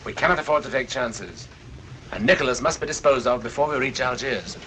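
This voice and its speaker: An actor playing a suave, calculating villain in a 1940s film, talking in a formal, deliberate way. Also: male voice, medium pitch, sharp tone, natural voice. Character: suave, calculating male villain, regretful yet ruthless. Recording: noisy old 1940s film soundtrack.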